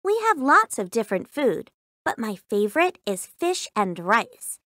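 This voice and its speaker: Woman as cheerful schoolgirl, textbook audio, careful diction, lively melody, dry studio sound. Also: on the high side, a little slow, no echo, exaggerated voice. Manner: careful, clearly enunciated, simple sentences. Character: cheerful young Japanese schoolgirl describing meals. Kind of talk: scripted language-textbook audio reading.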